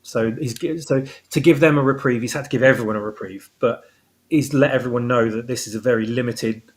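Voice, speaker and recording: A man's voice: medium pitch, steady tone, brisk. A man talking casually as an interview guest, explaining his own story and rephrasing as he goes. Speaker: guest, audio drama writer.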